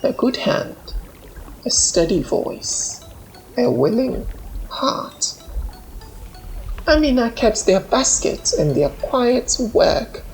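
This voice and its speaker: A woman narrating a scripted bedtime folktale in a calm, measured manner. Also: medium pitch, rough recording. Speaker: storyteller.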